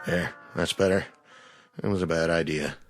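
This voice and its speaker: An actor playing an easygoing, down-to-earth diner cook, plain and placating in a vintage TV drama. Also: male voice, low voice, fairly even intonation, moderate pace, soft background music, lightly acted. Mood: soothing.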